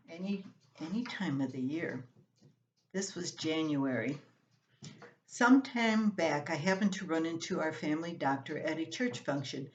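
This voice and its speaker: An older woman reading a scripted, humorous family column aloud as its writer, in an expressive storytelling manner. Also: low voice, lo-fi sound. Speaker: columnist reading own writing.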